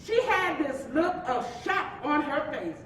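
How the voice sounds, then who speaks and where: A sassy, chatty married woman of the 1950s, played by an actress on stage, gossiping with folksy endearments. She speaks high and unhurried, and sounds far off in a very echoey hall. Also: very animated, fairly bright tone, slight background noise, exaggerated voice. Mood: gossipy, amused. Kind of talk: live stage reading of a play.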